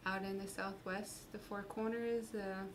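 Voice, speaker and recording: A woman's voice: mid-range voice, flat delivery, unhurried, a little hiss or hum. Earnest woman intern from Utah. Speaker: student research intern. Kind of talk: interview about her research.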